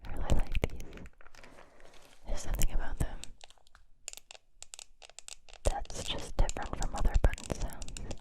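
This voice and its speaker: Slow whispering woman with a stuffy cold. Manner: slow, unhurried whispering with soft trailing phrases. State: congested from a cold. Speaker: ASMR creator. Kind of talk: close-up whispered ASMR. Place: home room with string lights. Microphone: close binaural mic.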